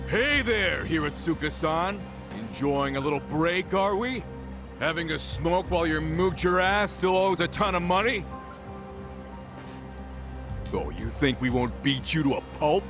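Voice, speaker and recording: An actor voicing an eager junior yakuza sidekick in a video game dub, talking in cocky slang. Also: male voice, a little high, expressive, measured pace, music in the background. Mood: taunting, threatening. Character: young junior yakuza sidekick, eager, mock-threatening debt collector.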